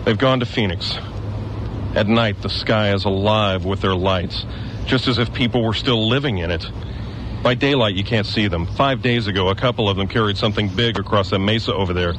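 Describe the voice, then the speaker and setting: An actor playing a hardened former guardsman who survives alone in a ruined town, gruff and matter-of-fact, lecturing with bitter conviction. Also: lowish voice, moderate pace, background noise, natural voice. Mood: wary. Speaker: stranger with a knife. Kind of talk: local radio drama.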